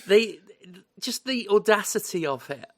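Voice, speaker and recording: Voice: mid-range voice, expressive. A podcast co-host in casual chat, recovering from illness and swearing freely. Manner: swears freely, drawn-out emphasis.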